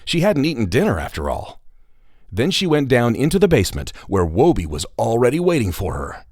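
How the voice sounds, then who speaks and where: A man's voice: mid-range voice, huge pitch swings, brisk, a little mellow, no echo. A man narrating a scripted children's adventure story.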